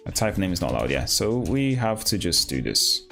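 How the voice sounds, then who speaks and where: A man hosting a live coding stream, talking casually and haltingly as he thinks aloud and asks himself questions. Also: low-pitched, sing-song, vocal fry, fairly bright tone, soft background music. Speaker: livestream host, programmer.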